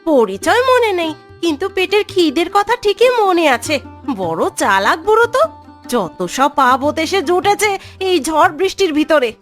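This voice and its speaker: Woman playing a very shrill, sharp-tongued wife. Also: big pitch swings, normal speed. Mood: sarcastic. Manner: scolding, sarcastic complaining. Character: sharp-tongued, bitter wife of a poor fisherman. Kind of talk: Bengali moral-story cartoon acting. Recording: music under the voice.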